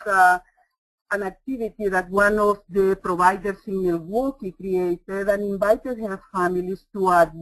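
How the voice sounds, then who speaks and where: A woman's voice: medium pitch, no room sound, low-quality recording. A woman from El Salvador with a Spanish accent, presenting a webinar as a trainer in an earnest, emphatic way.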